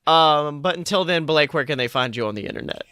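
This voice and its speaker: A man with a high, bright voice hosts a casual podcast. He sounds emphatic and playful, a bit of a showman, in a dry, close recording. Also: lively intonation, fast, clean tone, no room sound. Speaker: podcast host.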